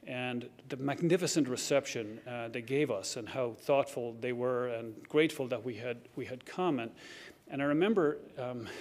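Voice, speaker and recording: A middle-aged man with an Irish accent giving a heartfelt acceptance speech, earnest and reflective, with frequent restarts. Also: medium pitch. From Ireland. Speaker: award recipient giving a speech. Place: banquet hall at a lectern.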